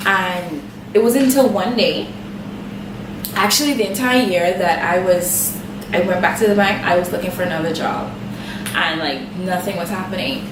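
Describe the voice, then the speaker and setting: A young woman with a Bahamian accent tells a personal story to camera in a chatty, animated way, full of asides and quoted back-and-forth. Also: lowish voice, moderate pace, recorded from a distance. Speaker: makeup artist vlogger. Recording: echoey room, off-mic, steady background noise.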